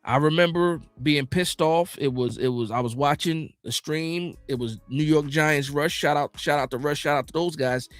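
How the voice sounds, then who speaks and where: An American man hosting a casual football livestream, reading out viewer comments and reacting in slangy, animated, informal talk. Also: a little high, normal speed, hardly any pauses, dry recording, faint music behind. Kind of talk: casual fan chat about football.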